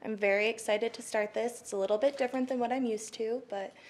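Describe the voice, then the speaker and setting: A woman's voice: a little high, steady tone, fast. A woman from Michigan introducing herself as new library staff, upbeat and brief.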